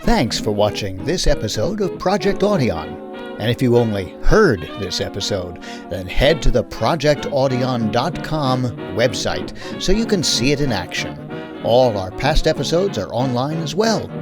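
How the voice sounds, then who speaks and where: A man's voice: medium pitch, very animated, normal speed. A man reading a scripted outro as announcer of an online show. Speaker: announcer of the series. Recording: music behind the voice.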